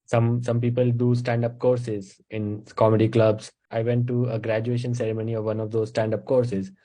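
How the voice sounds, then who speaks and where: A young man with an Indian English accent talks through how he writes stand-up, step by step with plenty of uh's. His voice is mellow and dark, at a middle pitch for a man. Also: moderate pace, rough recording. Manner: explains in steady steps, with frequent uh fillers. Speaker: stand-up comedian, interview guest. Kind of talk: casual interview chat.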